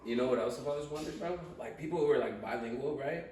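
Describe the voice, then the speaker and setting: A man's voice: medium pitch, quick pace, darkish tone. A young man hosting a casual chat with friends, bantering in slang and swearing freely. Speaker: podcast host. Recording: echoey room, distant mic, background noise.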